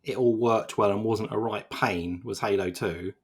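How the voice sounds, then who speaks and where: A man's voice: lowish voice, steady tone, normal speed, slightly rough. A man co-hosting a casual games podcast, rambling and self-deprecating with lots of fillers. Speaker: games journalist co-host.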